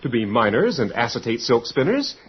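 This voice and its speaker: On a dull, lo-fi radio recording, a brisk male scientific guide with full authority. He declaims like a lecturer in an old radio play, his pitch moving animatedly, proud and clinical. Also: big pitch swings, husky. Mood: proud, lecturing. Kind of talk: mid-century radio drama acting.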